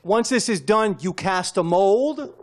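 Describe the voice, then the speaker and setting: A man's voice: high voice, lively intonation, a little slow. A man working as a show host, asking questions in a conversational interview.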